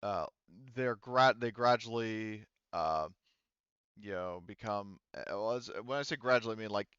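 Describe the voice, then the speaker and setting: Guy gming a tabletop game, lowish smooth voice, kinda halting, slightly dull dry recording. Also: a bit bright, dry recording. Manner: halting, searching for words. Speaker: game master. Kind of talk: narrating a tabletop roleplaying game, unscripted.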